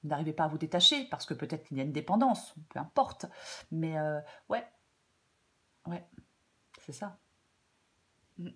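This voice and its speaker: A woman with a French accent giving a tarot reading in a casual, hesitant way, slightly hoarse, with many fillers and repeated phrases. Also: low-pitched, expressive, normal speed, long pauses, sharp tone. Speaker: tarot reader. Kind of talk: casual spoken tarot guidance.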